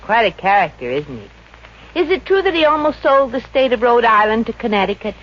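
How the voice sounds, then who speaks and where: A woman's voice: fairly high pitch, big pitch swings, fairly quick. A woman playing a confident, street-smart showgirl with a breezy, sassy, slangy delivery in 1950s radio comedy style. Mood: breezy, encouraging. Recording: dull, noisy old-time radio recording.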